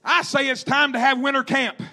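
A man's voice: extremely high pitch, little pitch movement, normal speed, sharp tone. A man preaching a fervent revivalist sermon, emphatic and repetitive, calling out to his congregation in rhythmic exhortation. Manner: calls out to the congregation.

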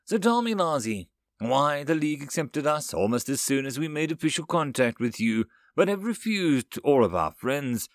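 A composed human chancellor in his thirties, voiced by a male narrator in a sci-fi audiobook. He speaks diplomatically with measured phrasing and very varied pitch, recorded dry. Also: big pitch swings, dry recording. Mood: calm, stern.

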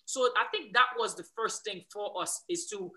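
A young man from Haiti speaks with a Haitian accent and a bright, ringing tone. He tells his story animatedly, stumbling into restarts as he builds momentum. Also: sits high, normal speed. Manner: animated storyteller, many restarts, growing louder as he goes. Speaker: guest. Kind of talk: casual interview, switching between English, Creole and French.

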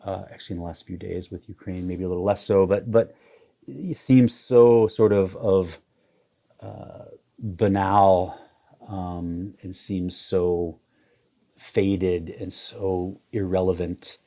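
A man in his forties with a low, dark and mellow voice. He talks in a flat, even way, halting and restarting with lots of fillers as he thinks aloud in an interview. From Iowa, US Midwest. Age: 40s. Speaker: photographer guest. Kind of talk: reflective interview conversation.

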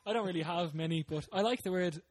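A young man with an Irish accent, a podcast co-host telling stories loudly in casual chat among friends, laughing often. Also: high-pitched, fast. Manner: animated, laughing storyteller with loud voice.

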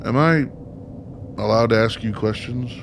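A man's voice: mid-range voice, measured pace, vocal fry, noisy background. A man acting in a radio play as a bitter, sarcastic, foul-mouthed son with a blunt manner. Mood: cautious. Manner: blunt, sardonic.

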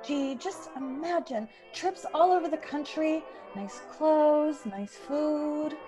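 A woman playing an earnest, high-voiced college girl. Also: slow, dark tone, soft background music. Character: earnest, practical young female college student. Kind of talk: classic radio play acting.

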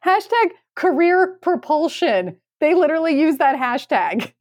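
A woman's voice: high voice, very animated, a little slow, darkish tone, dry recording. A woman co-hosting a podcast in casual comedic chat, talking in a sarcastic, emphatic way. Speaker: podcast co-host.

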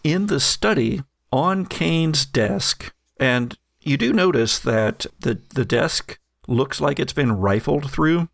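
A man narrating as game master of a tabletop game, describing scenes in a relaxed, chatty way with fillers. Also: fairly high pitch, slow pace, gravelly, no room sound, clean studio sound.

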